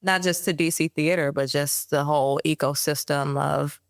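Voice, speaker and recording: An interviewer with a gravelly, flat delivery asks questions in a steady, measured way. The recording is dry and close. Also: medium pitch, no room sound. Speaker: interviewer, podcast host.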